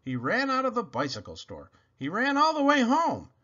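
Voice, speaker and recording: Male storybook reader, hugely varied pitch, bright tone with some huskiness, children's narration. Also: wildly swooping pitch, moderate pace, highs cut off. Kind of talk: animated children's read-aloud narration.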